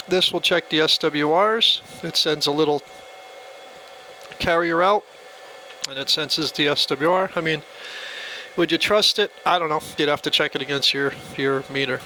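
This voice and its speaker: A man's voice: fairly high pitch, sharp tone, low-quality recording. A man giving a casual, plainspoken step-by-step tutorial as he demonstrates a device. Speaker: product demonstrator. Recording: faint background noise.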